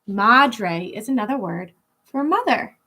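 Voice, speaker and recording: A woman with a high, lively voice reads a children's picture book like a schoolteacher. She takes her time, stretching key words and stopping to explain them. The delivery is expressive and clearly aimed at young listeners. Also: a little slow. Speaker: elementary school teacher reading a picture book. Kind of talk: children's read-aloud with explanatory asides.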